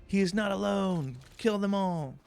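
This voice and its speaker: A man's voice: sits high, unhurried, vocal fry, darkish tone, clear, resonant tone, pitched above the performer's own voice. A man voicing a ruthless imperial commander from a fantasy game, giving clipped orders. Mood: commanding.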